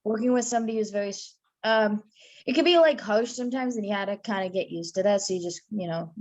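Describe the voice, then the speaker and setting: A woman's voice: mid-range voice, fast, darkish tone, lo-fi sound. A woman in a casual group discussion, talking in a tentative, meandering way. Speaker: participant in an online film class meeting.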